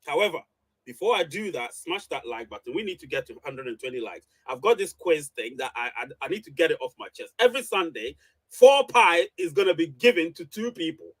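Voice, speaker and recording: Male live-stream host, higher-pitched voice, emphatic and repetitive commentary. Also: very animated, fairly quick, fairly bright tone, breathy edge, poor audio. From Ghana. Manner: emphatic, repeats phrases for emphasis. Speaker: online show host. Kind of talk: live reaction commentary to followers.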